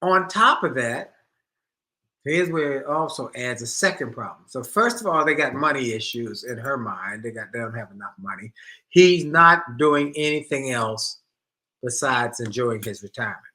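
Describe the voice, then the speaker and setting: A middle-aged man co-hosting a marriage advice show, retelling a listener's letter in an animated way and often repeating himself. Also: mid-range voice, normal speed. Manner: animated storytelling, repeats phrases.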